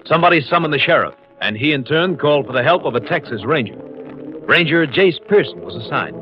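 A man's voice: a little high, animated, fairly quick. An American man narrating a 1950s radio crime western as a scripted announcer. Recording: muffled old radio recording with music.

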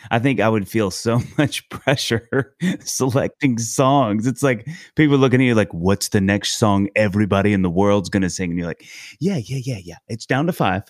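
A man's voice: lowish voice, moderate pace, no echo, studio quality. A man hosting a podcast, musing aloud in a playful, self-deprecating way. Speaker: podcast host. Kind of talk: casual podcast chat.

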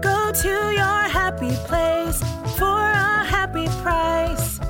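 A woman singing an advertising jingle with loud music behind her. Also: extremely high pitch, fairly even intonation, slow. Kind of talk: sung ad jingle.

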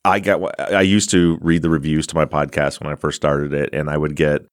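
A man's voice: a bass voice, level tone, a little fast, no room sound, clean studio sound. A middle-aged man with a Midwestern accent, hosting a casual podcast interview, chatty and self-deprecating. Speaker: podcast host.

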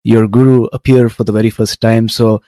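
A man's voice: fairly low pitch, level tone, a little fast, warm tone, no echo. A man hosting an interview, from Canada, asking thoughtful questions and affirming his guest often. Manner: encouraging listener with frequent short affirmations. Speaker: podcast host.

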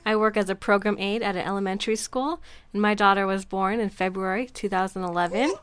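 A woman's voice: medium pitch, fairly even intonation, fast, clear, resonant tone. A woman in her twenties briefly introducing herself as a panelist. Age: 20s. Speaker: podcast panelist.

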